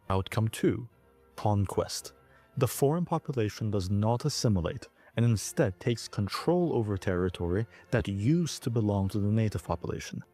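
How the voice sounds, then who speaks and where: A man giving measured, scripted documentary narration. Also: fairly low pitch, dark tone. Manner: measured, explanatory.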